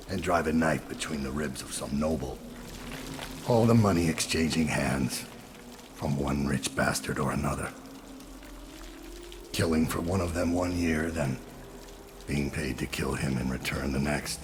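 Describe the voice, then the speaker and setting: A man's voice: a bass voice, background noise. A man playing a weary, guilt-ridden assassin in a video game, speaking in a grave, brooding, confessional way. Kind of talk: dramatic video game acting.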